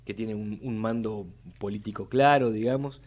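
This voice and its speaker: A man's voice: on the low side, fairly even intonation, normal speed, darkish tone. A young man with a Buenos Aires Spanish accent, speaking as a city legislator on a live radio interview in a studio, talking formally and often repeating words as he builds long arguments. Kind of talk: measured policy talk on live radio. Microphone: studio mic on boom arm.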